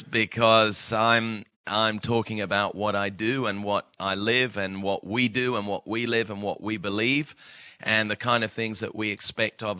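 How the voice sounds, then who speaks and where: A man with a booming voice speaks as a visiting pastor. His tone is very bright and crisp, a little low in pitch, and dry with no room sound. He talks plainly to the staff. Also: no room sound. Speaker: guest pastor. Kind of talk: church talk.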